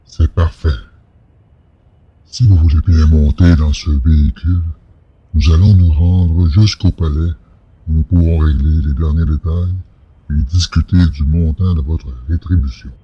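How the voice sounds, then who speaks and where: A man playing an elderly alien supreme leader, dignified, genial and formally courteous, with a gravelly voice in an audio drama. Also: extremely low pitch, fairly even intonation, a little slow, highs cut off, full character voice. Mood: courteous, commanding.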